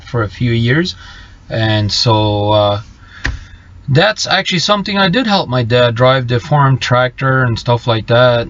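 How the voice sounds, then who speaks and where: Halting Low man on a lo-fi live stream. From Bolivia. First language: Low German. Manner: halting, many fillers and restarts. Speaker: trucker vlogger reading live chat. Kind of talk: casual live stream chat. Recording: slightly muffled lo-fi live stream.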